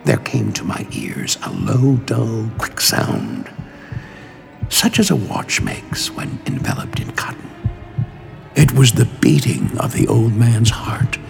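A man giving a slow, brooding dramatic reading of gothic tales in an audiobook trailer. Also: mid-range voice, vocal fry. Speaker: audiobook reader. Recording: trailer with music bed.

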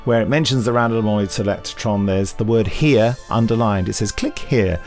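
A man's voice: fairly low pitch, moderate pace, music in the background. A man co-hosting an audio drama news podcast, chatting in a playful, jokey way. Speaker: podcast co-host. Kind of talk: casual chat.

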